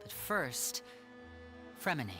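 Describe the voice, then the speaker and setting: A man playing an earnest, protective young brother with a measured, polished delivery in an anime video game. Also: music in the background, natural voice. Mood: resolved.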